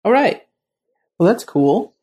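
A woman's voice: medium pitch, very animated, slow, warm tone. A woman hosting a podcast interview, asking questions with frequent laughs. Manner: laughs often, friendly questioning. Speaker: podcast host.

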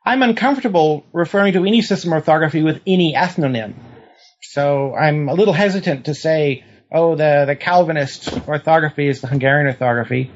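Male historian being interviewed, fairly high voice, precise and explanatory, conversational academic register, slightly muffled lo-fi sound. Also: a little fast. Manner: precise, explanatory, checks understanding. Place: lives in New Zealand.

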